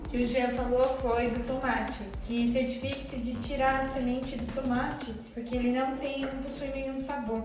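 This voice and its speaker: A woman's voice: high-pitched, flat delivery, normal speed, hardly any pauses, very echoey, far from the mic, music in the background, rough recording. A Brazilian woman hosting a home cooking tutorial, explaining the recipe in a chatty way with lots of filler tags. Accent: Brazilian Portuguese. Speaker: cooking show host. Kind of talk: bilingual cooking tutorial chat.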